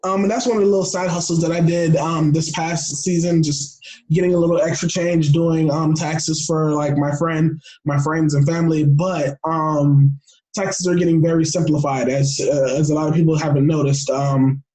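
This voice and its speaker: A young American man talking casually as an interview guest, excitable and rambling, often restarting his phrases. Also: a little high, normal speed, poor audio. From Florida, United States. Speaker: podcast guest.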